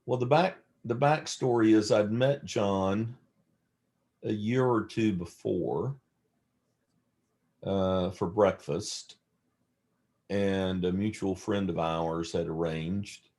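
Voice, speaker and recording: A mature man being interviewed, telling stories casually and reflectively in a measured, halting manner. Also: fairly low pitch, darkish tone. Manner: hesitant, measured, halting delivery. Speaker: interview guest, theologian.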